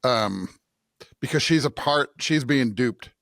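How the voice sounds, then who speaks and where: An American man of medium pitch speaks as co-host of a casual scripture podcast. He is emphatic, breaking off and restarting phrases as he drives a point home. His voice is recorded dry and free of room sound. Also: animated, slow pace, vocal fry, fairly bright tone, dry recording. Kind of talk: casual conversational Bible discussion.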